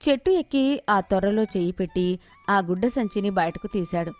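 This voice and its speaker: A woman's voice: mid-range voice, lively intonation, moderate pace, a little mellow. A woman giving scripted narration of a moral story as its storyteller.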